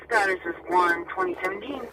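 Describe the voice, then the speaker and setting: A man's voice: high voice, a little fast. A man giving matter-of-fact, procedural emergency dispatch calls over a police scanner radio. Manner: matter-of-fact, repeats addresses digit by digit. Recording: distant scanner radio, loud noise. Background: loud vehicle and ambient noise.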